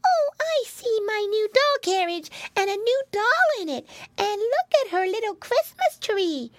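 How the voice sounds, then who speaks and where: A woman voicing excitable, gleeful little piglet children in a storybook, with drawn-out exclamations. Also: extremely high pitch, no echo, voice pushed up, exaggerated voice. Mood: delighted.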